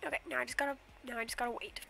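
A woman's voice: fairly high pitch, flat delivery, racing, gravelly, bright, forceful tone, faint music behind. A young woman streaming a game from her bedroom, rambling casually and humming silly nonsense sounds, a little sniffly from a cold. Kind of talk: casual livestream commentary while playing.

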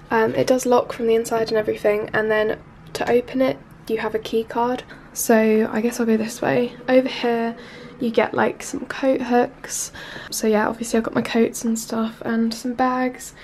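Nasal, gravelly young Englishwoman. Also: a little high, a little mellow, a little hiss or hum. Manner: chatty, filler-heavy, loose and unhurried. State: nasal from a cold. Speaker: vlogger. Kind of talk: casual room tour chat. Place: small student bedroom, indoors. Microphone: handheld camera mic.

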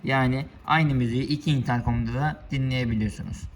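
A man presenting a product for a motorcycle shop, explaining its buttons one by one in a steady, formal way, recorded indoors under a building. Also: medium pitch, a little fast, slight background noise. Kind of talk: product demonstration.

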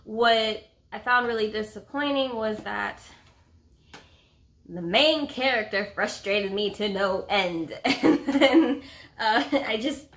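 A woman in her thirties talking casually as a book vlogger, expressive and emphatic, often repeating words for effect, recorded at home on a camera mic. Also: a little high, slow. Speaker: book reviewer and co-host of a book vlog. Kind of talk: casual chat about books. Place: small indoor room at home with a bookcase.

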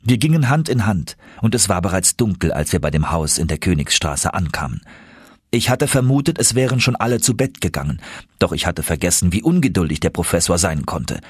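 A man's voice: low voice, very animated, fairly quick, breathy edge, no room sound, studio quality. A man reading an audiobook as a dramatic first-person narrator.

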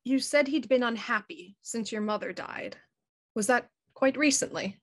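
Woman as a measured female inspector, fairly high voice. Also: lively intonation. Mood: courteous, probing. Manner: measured, formal questioning. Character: probing, courteous female police inspector. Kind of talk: audio drama acting.

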